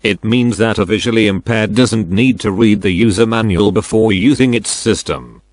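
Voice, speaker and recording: An older man narrates instructions as a non-native speaker of English. His voice sits fairly low and he explains each step plainly and deliberately. The sound is close and dry. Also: no echo. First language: not English. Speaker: product demonstrator.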